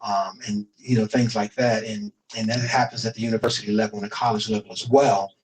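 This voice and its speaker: A middle-aged man, a guest musician in a remote interview, talking in a relaxed way and often repeating himself with "you know". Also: on the low side, brisk, poor audio. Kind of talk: casual interview chat.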